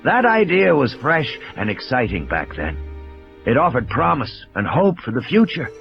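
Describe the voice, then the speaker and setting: An intense, ailing male scientist, acted by a man reading an audiobook lectures grimly over music in slightly muffled audio. His delivery is emphatic and rhetorical, with a very animated melody. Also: medium pitch, normal speed, vocal fry. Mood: fervent lecturing. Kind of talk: audiobook character dialogue. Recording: dull lo-fi audio, music behind.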